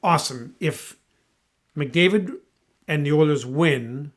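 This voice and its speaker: A man's voice: fairly high pitch, slow pace. A man co-hosting a podcast, teasing his partner and giving opinionated sports takes. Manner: teasing, opinionated, asks leading questions. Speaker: podcast co-host. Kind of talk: casual sports chat.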